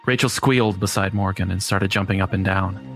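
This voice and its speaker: A man's voice: deep voice, fairly even intonation, fairly quick, a bit bright, soft background music. A man narrating a scripted first-person horror story.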